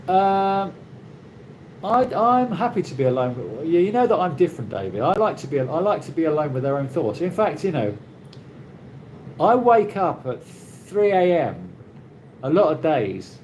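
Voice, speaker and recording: A British man talks casually on a live stream, his voice rising and falling in a lively way. He rambles and restarts his sentences often. Steady noise from the surroundings runs under his voice. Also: a little high. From Britain. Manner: rambling, halting, with many restarts. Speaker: livestream host reading viewer comments. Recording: noisy ambient background.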